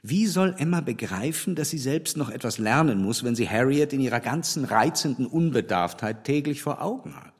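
Frank, husky gentleman, animated delivery. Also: mid-range voice, moderate pace, hardly any pauses. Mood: disapproving, critical. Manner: opinionated, wry, formal. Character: frank, critical English gentleman, dryly teasing. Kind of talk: literary radio play dialogue.

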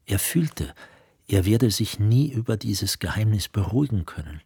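A man narrating a literary radio play from a script in a measured, serious manner. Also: lowish voice, expressive, mellow, breathy edge, dry recording, studio quality.

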